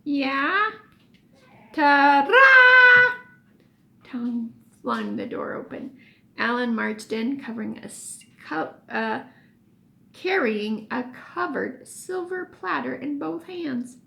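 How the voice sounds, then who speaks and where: A woman teacher reading a children's story aloud expressively, pausing for emphasis and explaining things as she goes. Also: a little high, big pitch swings, creaky, fairly bright tone.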